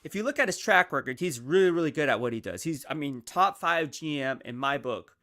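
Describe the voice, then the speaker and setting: Man, sports commentary host, bright and slightly high voice, chatty and punchy, a bit hoarse. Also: fairly quick. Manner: energetic, conversational, peppered with "right?" and "all right?". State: losing his voice. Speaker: football analyst and show host. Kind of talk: casual sports commentary monologue.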